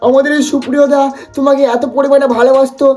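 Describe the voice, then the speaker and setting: A person hosting a comic roast in a mocking, exaggerated, excitable way. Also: high-pitched, fast. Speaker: prank video host and roaster. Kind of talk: teasing comic roast commentary. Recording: music behind the voice. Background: music.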